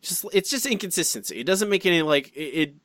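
A man co-hosting a podcast, reading out listener comments in chatty, animated fashion with frequent restarts and asides. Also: sits high, brisk, dry recording, clean studio sound. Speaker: podcast co-host.

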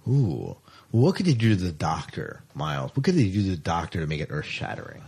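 A man with a fairly low voice co-hosts a podcast in a casual, chatty way. He sounds enthusiastic as he talks through entertainment news, keeping it conversational. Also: wildly swooping pitch, a little fast, creaky, darkish tone, husky. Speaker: podcast co-host. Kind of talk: casual sci-fi news chat.

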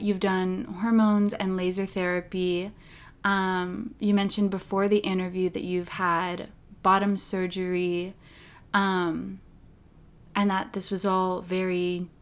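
A woman with a mid-range voice hosts an interview. She asks careful, polite questions and words her remarks thoughtfully. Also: mid-range voice.

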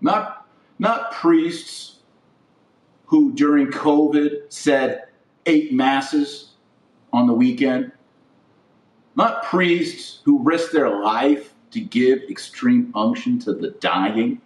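A man's voice: mid-range voice, very slow, distant mic. A man giving opinionated religious commentary as a podcast host, indignant and preachy, leaning hard on stressed words. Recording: roomy echo, voice off the mic.

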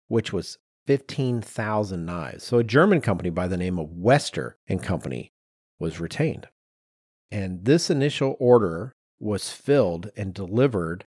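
Low-voiced man, dry close podcast sound. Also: a little mellow, no room sound. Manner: informal, explanatory with personal asides. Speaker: podcast co-host. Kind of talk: conversational storytelling from research.

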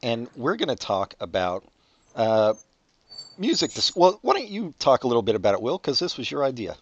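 A man's voice: medium pitch, sing-song, moderate pace, lo-fi sound. A man in his forties hosting a podcast, chatting casually with dry, wry teasing. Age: 40s. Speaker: podcast host. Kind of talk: casual chat.

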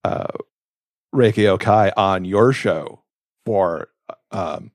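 A man's voice: low-pitched, slow, no echo. Enthusiastic man podcast host, dry close sound. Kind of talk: casual book chat on a podcast.

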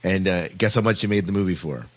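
A man hosting a casual podcast discussion, speaking a little haltingly and repeating words. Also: deep voice. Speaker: podcast host.

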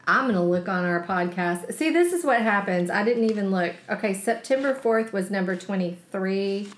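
A woman co-hosting a casual podcast, talking in a loose, chatty way and often laughing at herself. Her voice sits at an ordinary pitch for a woman. The room around her is roomy and echoey. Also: lively intonation, moderate pace. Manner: chatty, laughs easily, self-mocking. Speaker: podcast co-host, chef. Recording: roomy echo.

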